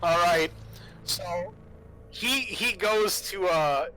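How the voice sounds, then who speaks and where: A man with a bright, forward voice serves as game master at a tabletop session. He narrates and explains rules haltingly, with faint music underneath. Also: sits high, rough recording.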